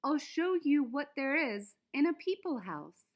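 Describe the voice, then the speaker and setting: An eager mouse from a children's storybook, as a woman reader puts him on. The voice is high and leaps about with lively pitch. It is friendly, inviting and excitable. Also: measured pace. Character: eager, friendly male mouse showing off a house.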